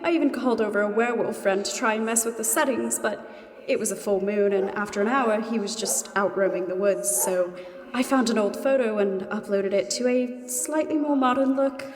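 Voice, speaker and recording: A woman's voice: mid-range voice, fairly quick. Echoing, chatty ghost woman. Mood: amused, excited. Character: giddy, chatty two-hundred-year-old female ghost in love. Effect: ghostly reverb. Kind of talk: mock dating testimonial in comedy audio drama. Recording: long echoing reverb on the voice.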